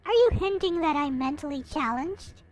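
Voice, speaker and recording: Woman voicing a bitter, high-voiced young recluse. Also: expressive, moderate pace. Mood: irritated. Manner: curt, hostile. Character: bitter, reclusive young patient snapping at visitors.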